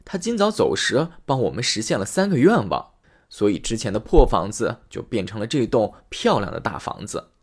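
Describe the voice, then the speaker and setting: A man's voice: on the low side, big pitch swings, normal speed, breathy edge, full character voice. A man voicing a humble, kind poor man in a storybook tale. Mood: calm.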